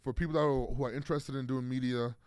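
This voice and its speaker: A man's voice: medium pitch, steady tone, fast, darkish tone, clean tone, dry recording, studio quality. A man in his thirties, a football player guest, talking casually in an interview with lots of fillers and restarts. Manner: rambling, many fillers and restarts.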